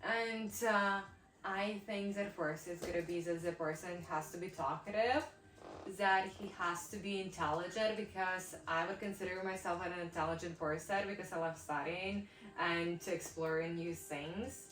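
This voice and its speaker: A woman's voice: lowish voice, fairly even intonation, normal speed, a bit bright, distant mic. Young Ukrainian woman chatting, echoey room. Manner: thoughtful, hesitant, many fillers. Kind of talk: casual chat.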